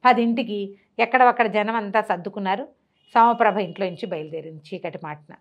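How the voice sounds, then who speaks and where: A woman storyteller with a mid-pitched voice narrates an old tale as if chatting to a listener. She throws in rhetorical questions and voices the characters' dialogue herself. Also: a little fast, muffled recording. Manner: conversational, asks rhetorical questions, acts out dialogue. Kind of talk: animated oral storytelling of a traditional tale.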